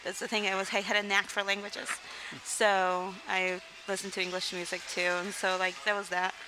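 Young woman from Mexico, interview guest, open and rambling, steady mid-range pitch, noisy recording. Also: brisk. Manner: candid, rambling, frequent "like" and "um", laughs between sentences. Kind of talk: casual interview storytelling.

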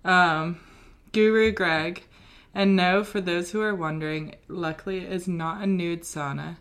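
A young British woman co-hosts a casual podcast, spinning an anecdote at a slow, easy pace. Her voice sits a little low, and her talk is loose and rambling, full of fillers. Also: fairly even intonation, fairly bright tone. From Britain. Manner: chatty storyteller, many ums and likes. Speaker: podcast co-host. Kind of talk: casual chat.

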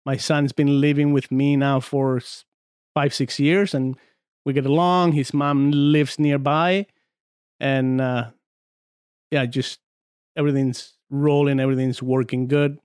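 A man's voice: medium pitch, level tone, unhurried, a little mellow, clean tone, dry recording. A man in his forties from Argentina, a podcast guest chatting casually in a studio, telling stories with many fillers and restarts. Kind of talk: casual chat.

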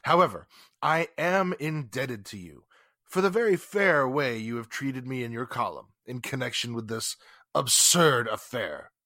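A man's voice: medium pitch, expressive, unhurried, sharp tone, dry recording. An actor playing a haughty, sarcastic, indignant businessman in 1940s radio drama style. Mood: coolly sarcastic. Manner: pompous, formal, sarcastic. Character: haughty, indignant wealthy businessman under police questioning.

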